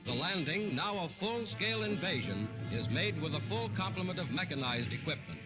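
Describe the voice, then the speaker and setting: A man's voice: on the high side, very animated, moderate pace, loud music behind the voice, low-quality recording. A man with an American accent narrating a 1940s newsreel in a dramatic, formal, declamatory announcer style, on a vintage soundtrack.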